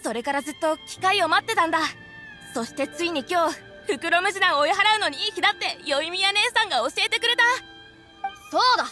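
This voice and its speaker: A woman's voice: extremely high pitch, animated, quick pace, bright, forceful tone, full character voice. An actress playing a stubborn, trusting little village boy in an anime game, earnest and boyish. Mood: indignant, excited. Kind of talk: Japanese anime game voice acting. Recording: music behind the voice.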